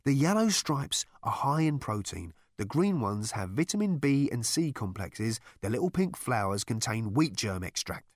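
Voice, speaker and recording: A man's voice: mid-range voice, big pitch swings, moderate pace, few pauses, no echo, clean studio sound. A man reading an audiobook, voicing a grim space conspirator with an emphatic, ominous way of talking. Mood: matter-of-fact. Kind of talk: audiobook character voice.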